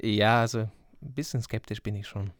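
A man's voice: fairly low pitch, level tone, moderate pace, no room sound. A young man from Ukraine, co-hosting a student podcast with a dry, teasing humour. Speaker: podcast co-host, journalism student. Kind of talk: scripted intro and casual podcast chat.

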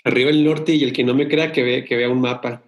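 A man's voice: mid-range voice, steady tone, brisk. A man from Sonora, Mexico, co-hosting a casual chat, talking in a rambling way with many restarts. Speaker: podcast co-host.

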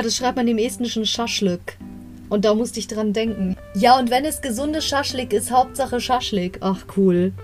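A woman's voice: fairly high pitch, darkish tone. A young woman casually retelling and commenting on an audio drama episode, chatty and prone to side stories and self-corrections. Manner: chatty, rambling asides, corrects herself mid-story. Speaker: podcast host reviewing an audio drama episode. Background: faint guitar music.

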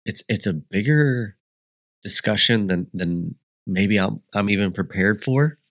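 A man's voice: lowish voice, lively intonation, unhurried, a bit bright. A man hosting a solo podcast, congested and sniffly, talking in a rambling, reflective way with frequent restarts. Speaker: podcast host.

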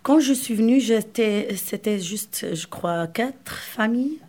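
A woman's voice: a little high, lively intonation, slow pace, mellow. A woman from Morocco whose first language is Arabic, speaking French in a casual interview, hesitant, repeating words and laughing often. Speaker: interview guest.

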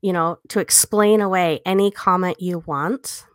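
A woman's voice: fairly low pitch, steady tone, a little slow, no echo. Woman chatting casually, very dry close sound. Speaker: podcast co-host. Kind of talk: casual book discussion, personal reflection.

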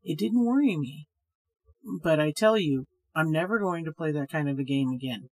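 A woman voicing a sad, hesitant man who tells a ghost story in a grave, measured way. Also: low-pitched, animated, a little mellow. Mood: earnest.

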